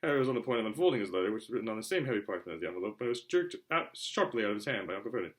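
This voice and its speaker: A man narrating a children's novel aloud in an expressive storytelling style. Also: lowish voice, rapid-fire. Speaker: audiobook reader.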